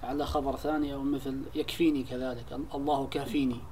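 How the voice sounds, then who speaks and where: A man's voice: medium pitch, level tone, quick pace, darkish tone, slight background noise. A male student speaking briefly and respectfully to his teacher and reading a line of verse aloud, heard faintly from a distance. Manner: brief, deferential questions and responses.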